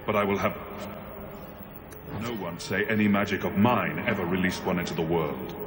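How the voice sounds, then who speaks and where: Casting: male actor as grave game mage, very low voice, solemn, echoing under loud music. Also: close to an everyday voice. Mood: resolute, regretful. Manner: solemn, measured incantation. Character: grave mage intoning a solemn binding rite. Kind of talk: video game voice acting.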